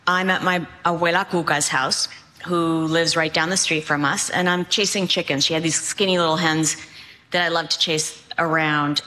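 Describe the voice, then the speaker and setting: A woman in her sixties from Cuba, raised in New England, telling a personal story with deliberate phrasing. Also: fairly low pitch, sharp tone. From born in Cuba, raised in New England. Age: 60s.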